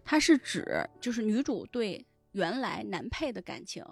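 A woman explaining things in animated casual chat as a guest on a talk show. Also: mid-range voice, a little slow, gravelly, breathy edge. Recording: background music under the voice.

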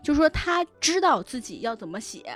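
High-pitched woman chatting over music. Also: big pitch swings, fairly quick, fairly bright tone. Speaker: guest, novel recommender and editor. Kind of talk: casual podcast chat.

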